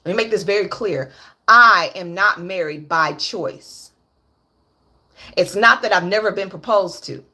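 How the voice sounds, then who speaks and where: A woman's voice: medium pitch, a little slow, pushed and bright. A woman in her late thirties speaking on a candid livestream, blunt and profane, repeating her points for emphasis. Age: 30s. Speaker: livestream host.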